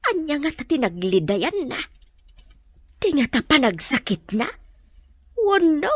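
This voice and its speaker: A woman's voice: mid-range voice, fairly bright tone, slightly rough, no echo, exaggerated voice. A woman playing a nosy, gossipy village woman in an Ilocano audio drama, full of exclamations and questions.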